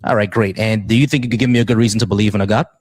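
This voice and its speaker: A man's voice: on the low side, fairly even intonation, racing, darkish tone, no echo, clean studio sound. A man hosting and moderating a live debate panel, insistent and challenging, often repeating himself.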